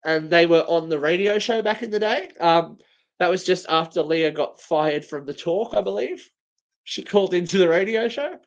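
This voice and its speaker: A man's voice: high voice, moderate pace, muffled recording. A man co-hosting a live show in casual banter, with a cheeky, campy manner. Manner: cheeky, campy, gushing asides. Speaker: co-host of a live online show.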